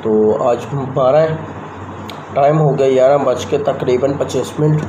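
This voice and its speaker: A man's voice: medium pitch, unhurried. Young man rambling into a phone. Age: young adult. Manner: rambling, conversational, talks straight to the camera. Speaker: vlogger. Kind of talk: casual vlog chat. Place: rooftop outdoors, then a small room indoors. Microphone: handheld phone camera at arm's length. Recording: noisy phone recording with outdoor ambience.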